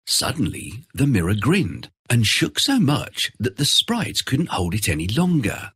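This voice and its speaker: A man narrating a children's fairy tale in an expressive, theatrical storytelling style. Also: medium pitch, unhurried, breathy edge, no room sound, clean studio sound.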